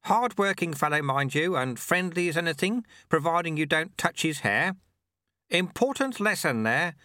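A man voicing a cheerful, amiable little old monk sweeper in an audiobook, wryly modest, with a breezy, gently teasing manner. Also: fairly high pitch, very animated, normal speed, no room sound, clean studio sound, voice pushed up. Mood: amiable, amused.

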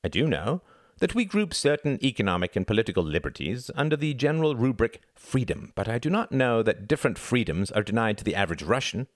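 A man's voice: medium pitch, very animated, fast, no room sound, clean studio sound, pitched above the performer's own voice, natural voice. A man voicing an argumentative young son, a haughty intellectual who lectures emphatically and rhetorically in a dramatised story reading. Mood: sardonic.

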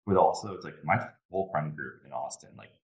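A man's voice: very deep voice, animated, a little fast, dull audio. A young man co-hosting a podcast in casual chat, rambling with constant "like" and "kind of". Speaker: podcast co-host.

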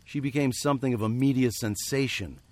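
Weird-western radio lead: actor as a male fugitive, earnest, wary and lovelorn, medium pitch, dry studio sound. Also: brisk, no echo, natural voice. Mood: somber, doubtful. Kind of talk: radio drama acting with narration.

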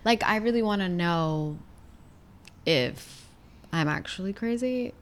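A woman's voice: medium pitch, animated, slow pace. A young woman with a New York accent, co-hosting a casual podcast and swearing freely. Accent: New York with Dominican Spanglish influence. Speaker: podcast co-host. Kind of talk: casual chat.

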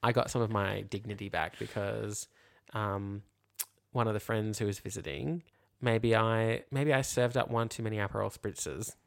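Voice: medium pitch, steady tone, normal speed, gravelly. A podcast co-host telling casual, comic anecdotes and acting out the quoted dialogue as they go. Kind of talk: casual comic storytelling chat.

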